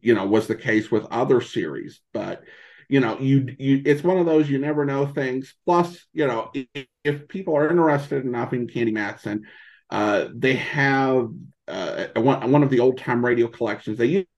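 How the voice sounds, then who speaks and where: Dull-sounding, halting man in casual interview. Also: mid-range voice. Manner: halting, meandering, often restarts phrases. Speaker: podcast guest and old-time radio podcaster. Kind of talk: casual interview chat about a hobby.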